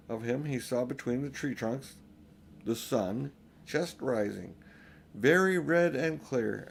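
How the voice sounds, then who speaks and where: A man's voice: medium pitch, a little hiss or hum. An older man narrating a children's storybook as an audiobook reader. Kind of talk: storybook narration read aloud.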